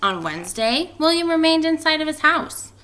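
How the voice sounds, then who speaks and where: A woman speaking as a teacher doing a storybook read-aloud for children, expressive and emphatic in her delivery. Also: high-pitched, moderate pace. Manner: animated, expressive delivery with emphatic stretched words.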